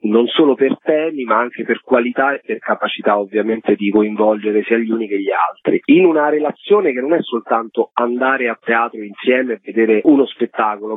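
A man's voice: medium pitch, breathy edge, dry recording. A man, a theatre festival curator, explaining his program on a radio call in fluent, long sentences. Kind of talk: explanatory interview on a phone line. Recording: dry, tinny phone line.

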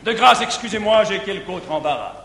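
Brooding jealous older guardian, bright high voice, echoey theatre hall. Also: male voice, lively intonation, fairly quick, slight background noise. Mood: curt. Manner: brooding, declamatory. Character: jealous middle-aged man, bitter guardian. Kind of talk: declaimed verse stage acting. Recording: echoey 1959 theatre recording.

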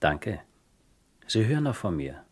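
A man playing a polite, probing police inspector in a German radio crime drama, measured, formal and husky. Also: lowish voice, big pitch swings, noisy background.

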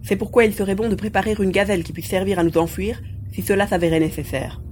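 A man playing a calm, analytical spaceship crew leader, measured and formal, in a science fiction audio drama. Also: sits high, a little hiss or hum, natural voice. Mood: calm concern.

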